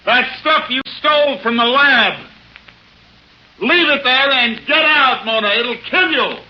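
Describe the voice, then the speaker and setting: An American actor playing a brisk, wry, hard-boiled investigator in an old radio drama, clipped and commanding. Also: male voice, very high-pitched. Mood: urgent shouting. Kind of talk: 1960s American radio detective drama acting. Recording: muffled, noisy old radio recording.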